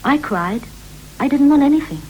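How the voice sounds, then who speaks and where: An actress playing a wistful wife who recalls her girlhood in measured, echoing short phrases, in the style of a poetic 1960s radio play. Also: high-pitched, lively intonation, darkish tone. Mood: reflective. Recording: vintage 1960s radio recording with hum and hiss.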